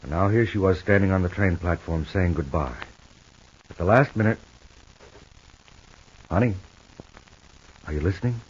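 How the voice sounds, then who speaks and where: Deep, husky, brooding radio-soap husband. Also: male voice. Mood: gentle. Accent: American. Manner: halting, musing aloud to himself. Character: tender, brooding husband, quietly hurt. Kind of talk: 1950s radio soap opera acting. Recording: muffled old radio recording.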